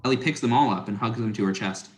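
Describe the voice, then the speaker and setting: A man serves as narrator for a dramatic script reading. His voice is on the low side, delivering scripted stage directions. The sound is noticeably echoey. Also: fast talker, slight background noise. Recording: roomy, echoing sound.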